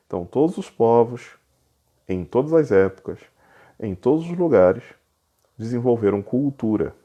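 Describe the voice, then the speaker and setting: A man teaching a recorded lecture, explaining step by step and repeating key points, sometimes drawing words out slowly for emphasis. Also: mid-range voice, lively intonation, mellow, dull audio.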